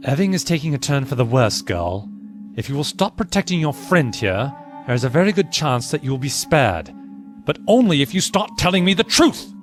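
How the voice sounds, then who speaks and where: A man's voice: fairly high pitch, big pitch swings, moderate pace, soft background music. A man playing a stern, suspicious nineteenth-century naval officer in an audio drama. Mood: accusing, scornful. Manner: stern, accusatory.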